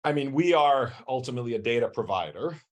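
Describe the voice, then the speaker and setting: A middle-aged man giving measured, articulate explanatory answers as an executive guest in a business interview. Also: mid-range voice, expressive. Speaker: company chief executive, podcast guest.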